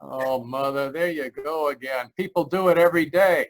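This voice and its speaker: An actor playing an anxious, halting man in his thirties in an old-time radio drama. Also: a little high, natural voice, poor audio. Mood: affectionate reassurance. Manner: urgent, halting, nervous. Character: anxious man in his thirties recounting a terror, insisting he is sane.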